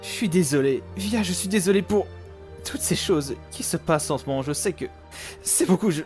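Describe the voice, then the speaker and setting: A man's voice: sits high, animated, normal speed, a little mellow, soft background music. A man voicing a refined, doting demon father, hesitant and tender, in a cartoon fan dub. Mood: apologetic. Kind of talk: animated series fan dub.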